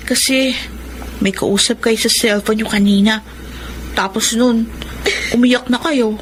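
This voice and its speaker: A protective young Filipino daughter, played by a woman in a radio drama. Her high voice is earnest and pleading. Faint noise sits behind it. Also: fairly even intonation, measured pace, lightly acted, rough recording. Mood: concerned.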